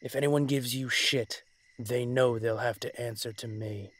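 A man acts out a male dragon-shifter crime boss in an audio roleplay. His medium-pitched voice is authoritative and measured. He sounds weary yet firmly in command, delivering a dramatic monologue. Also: steady tone, lightly acted. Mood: grave, commanding.

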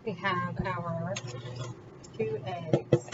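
A woman's voice: lowish voice, fairly even intonation, drawn-out words. A woman hosting a home cooking vlog, talking informally and thinking aloud as she works in her kitchen. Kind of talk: casual chat while cooking. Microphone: camera on the counter, at a distance. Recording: distant, dull sound with loud background noise.

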